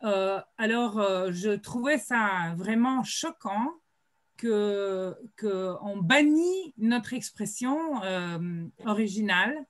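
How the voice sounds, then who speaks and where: Woman, unhurried panelist on a video call, lots of "euh" pauses, mid-pitched. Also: expressive. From Slovenia. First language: Slovene. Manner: hesitant, many drawn-out "euh" pauses. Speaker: writer at a colloquium panel. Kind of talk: panel discussion remarks.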